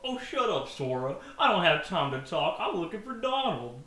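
A man's voice: high voice, sing-song, moderate pace, bright, forceful tone, voice pushed up, full character voice. A man voicing an irritable, secretive cartoon dog-man, recorded in a roomy space with other people around. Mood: snappy, evasive.

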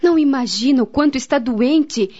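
A woman's voice: high voice, moderate pace, mellow. An actress playing a grave, devout woman in a radio drama, speaking solemnly and earnestly with a Brazilian accent. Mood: solemn, advising. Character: grave, devout woman friend, counselling and recalling family tragedy. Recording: dull, lo-fi radio drama recording.

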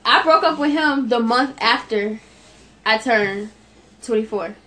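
A woman's voice: on the high side, unhurried. A woman in her twenties, chatting casually as a podcast co-host and telling her story with laughs. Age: 20s. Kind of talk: casual chat. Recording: noisy home recording.

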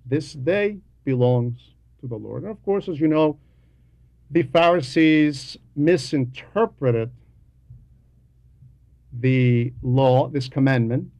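A man preaches a homily at a slow, measured pace. His delivery is deliberate and emphatic, leaning on repeated phrases as he teaches. His voice sits at a medium pitch in a dry, close sound. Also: a little mellow, no room sound. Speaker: homilist reading a translated homily.

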